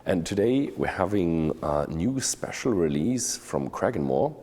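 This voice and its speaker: Male host for a casual interview, warm mellow timbre, reverberant space. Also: low-pitched, big pitch swings, measured pace. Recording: long echoing reverb.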